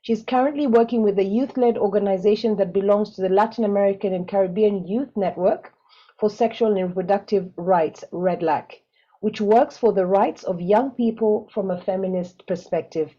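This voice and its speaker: A woman's voice: mid-range voice, normal speed, lo-fi sound. A woman whose first language is Swahili, moderating an online panel in a chatty, self-joking way with frequent ums. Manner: warm, chatty, laughs at herself, frequent ums. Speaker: webinar moderator. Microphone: video call.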